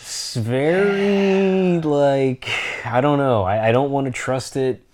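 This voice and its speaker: A young man co-hosting a gaming podcast who laughs often and talks in an animated way. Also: mid-range voice, takes it slowly. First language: not Japanese. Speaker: podcast co-host. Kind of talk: casual gaming podcast chat.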